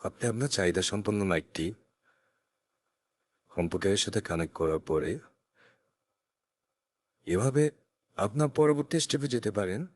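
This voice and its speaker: A man with a deep voice presents a software tutorial, explaining each step carefully and instructionally. His voice is captured close on a condenser microphone, with a very dry sound and little room in it. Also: animated, a little slow, pauses often, dry recording. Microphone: close USB condenser microphone.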